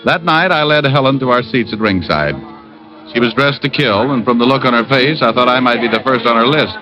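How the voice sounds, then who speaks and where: A man's voice: mid-range voice, fairly quick, natural voice. An actor playing a wisecracking private eye who narrates his own case with dry, wry asides in old radio drama style. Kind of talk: 1940s-50s radio detective acting and first-person narration. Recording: muffled old radio recording, music behind.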